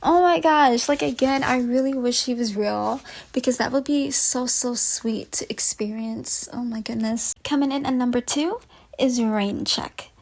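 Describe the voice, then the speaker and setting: A woman presents casual fan commentary as a video host. Her voice is on the higher side, and she talks in an expressive, openly gushing manner. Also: measured pace, creaky. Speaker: video host reviewing game phone calls.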